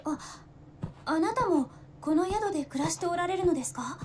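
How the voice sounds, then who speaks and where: An earnest, well-mannered young woman for a Japanese visual novel. Her voice is extremely high and brisk, with formal, courteous phrasing. It comes through as game audio with some noise. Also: expressive, darkish tone. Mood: polite. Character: polite, earnest young woman visitor to a village. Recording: game audio in a noisy livestream.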